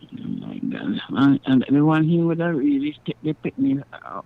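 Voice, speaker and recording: A woman calling in to a radio show, speaking haltingly and murmuring agreement. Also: low-pitched, wildly swooping pitch, gravelly. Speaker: caller. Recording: phone line.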